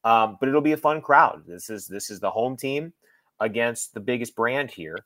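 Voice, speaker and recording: A man working as a sports broadcaster gives conversational analysis as a guest, thinking aloud in a halting way with repeated phrases. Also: medium pitch, lively intonation, fairly bright tone, no room sound.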